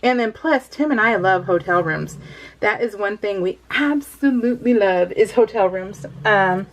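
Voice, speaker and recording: A middle-aged woman talks casually to her viewers from her craft room at home. Her voice sits at an ordinary, mid-range pitch, and she rambles with plenty of fillers and laughs often. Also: animated, moderate pace, rough recording. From California. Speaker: crafting vlogger.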